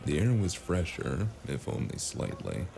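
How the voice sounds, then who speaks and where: A man's voice: sits low, big pitch swings, unhurried, creaky, full character voice. A man playing a dry, weary, hesitant investigator in a cyberpunk noir audio drama. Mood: brooding narration. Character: male freelance investigator, dry, weary, awkwardly flirtatious noir loner. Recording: music behind the voice.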